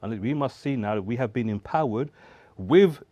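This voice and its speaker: A man's voice: mid-range voice, lively intonation, moderate pace, warm tone, dry recording. A man talking as a guest in a casual studio discussion, emphatic and fond of rhetorical questions, joking now and then. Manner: emphatic, asks rhetorical questions, jokes and laughs.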